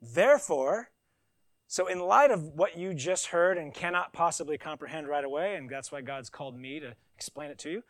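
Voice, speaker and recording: High-voiced preacher man, dry sound. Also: moderate pace, no echo. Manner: animated, emphatic reading with conversational asides. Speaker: pastor. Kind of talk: sermon with scripture reading.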